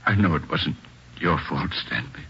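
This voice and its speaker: An American actor playing a middle-aged grieving father in a 1940s radio drama, speaking with halting, heavy restraint. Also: rumbling low, animated, sharp tone, slight background noise, exaggerated voice. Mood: gentle, grieving. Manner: halting, heavy, plain-spoken. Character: grieving, restrained father of a dead young boy. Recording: muffled vintage 1940s radio recording.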